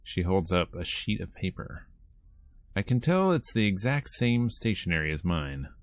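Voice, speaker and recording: Low-voiced man playing a visual novel. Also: big pitch swings, normal speed. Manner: playful, casual asides between read lines. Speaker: host of a gameplay video series. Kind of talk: let's play, reading visual novel text aloud with casual asides.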